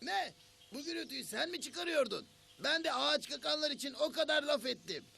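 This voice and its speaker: Grumpy, touchy cartoon bird: extremely high, heavily gravelled, indignant and theatrical. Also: male voice, animated, fairly quick, bright, forceful tone, a little hiss or hum, full character voice. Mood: grumbling. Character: grumpy, touchy bird who complains about noise. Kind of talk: children's cartoon voice acting.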